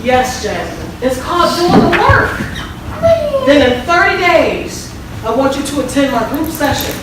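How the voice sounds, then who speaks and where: A woman's voice: sits high, big pitch swings, normal speed. A woman playing a confident, preachy relationship counselor on stage, emphatic and instructive, with repeated commands. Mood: instructive, firm. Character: confident, preachy female relationship counselor with a self-help book. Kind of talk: live stage-play acting. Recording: echoey theater hall, distant mic, noisy.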